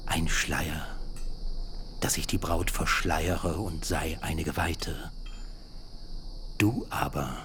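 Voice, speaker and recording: A scheming, self-important older uncle in a radio drama, his voice very deep and his delivery slow and heavy. He haggles, grows solemn and sinks into whispers, with crickets chirping faintly at night. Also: slight background noise, full character voice. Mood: boastful. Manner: bargaining, solemn, swinging between loud and whispered. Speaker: actor in a radio play. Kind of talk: German radio drama acting.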